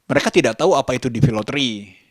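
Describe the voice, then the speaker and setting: A man with a Jakarta Indonesian accent telling a personal story as a vlogger, halting and hesitant, pausing between phrases. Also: medium pitch, expressive, no echo, studio quality. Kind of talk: personal vlog storytelling to camera.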